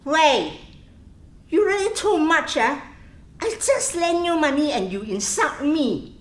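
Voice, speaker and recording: Actress playing a sassy, high-pitched Singaporean dancing girl. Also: very animated, slow pace, heavily acted. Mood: indignant. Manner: teasing, blunt, Singlish phrasing. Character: sassy, big-hearted nightclub dancing girl with star ambitions. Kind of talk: radio play acting.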